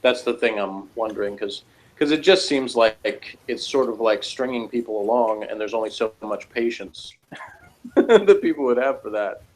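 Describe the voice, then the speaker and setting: A man's voice: medium pitch, animated, normal speed, poor audio. A man talking casually in a loose, rambling discussion, feeling his way through his points hesitantly. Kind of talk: casual discussion of TV and personality types.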